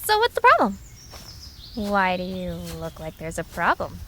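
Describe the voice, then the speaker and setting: A woman's voice: fairly low pitch, wildly swooping pitch, moderate pace, fairly bright tone, slight background noise. A woman playing a skeptical, anxious young woman in an audio drama, questioning everything in an emphatic, incredulous way. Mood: worried.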